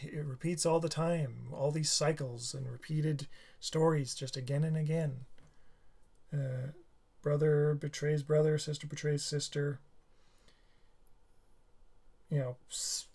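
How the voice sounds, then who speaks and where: Tired man rambling in a reaction video. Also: on the high side. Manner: halting, many restarts and fillers. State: tired, slightly sore throat. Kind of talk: casual rambling commentary.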